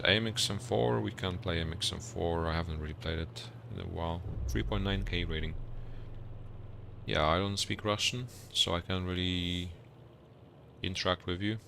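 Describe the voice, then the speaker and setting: Deep-voiced young Polish man, gravelly. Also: a bit bright. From Poland. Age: young adult. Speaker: live streamer. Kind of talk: casual gaming commentary and chat. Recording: noisy game battle sounds behind voice. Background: artillery fire and vehicle game sounds.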